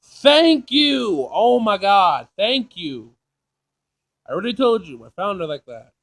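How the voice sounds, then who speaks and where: High-pitched, sassy male gamer. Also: big pitch swings, slow pace, fairly bright tone. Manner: informal, sassy, reactive. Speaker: gaming video player. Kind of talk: casual let's play, reading game text aloud with reactions.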